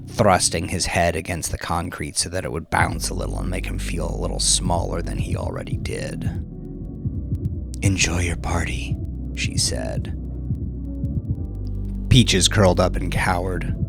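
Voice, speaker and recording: A man with a low voice narrates crime fiction in a dramatic, scripted style. His delivery is grave and deliberate, building suspense over a clear music bed.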